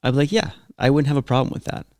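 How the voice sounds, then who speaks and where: A man's voice: mid-range voice, rapid-fire, a little mellow, no echo, studio quality. A man chatting casually as co-host, thinking aloud as he rambles and often restarting his sentences. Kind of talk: casual conversation.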